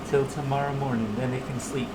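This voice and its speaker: A man hosting a livestream with casual, halting, unscripted chat. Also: mid-range voice, level tone, heavy background noise. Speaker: livestream host.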